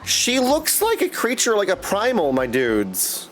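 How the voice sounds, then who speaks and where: Man, game livestreamer, high voice, very animated intonation, slangy, chatty, exuberant, casual commentary, faint music. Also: a little slow. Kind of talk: casual live stream chat and game commentary.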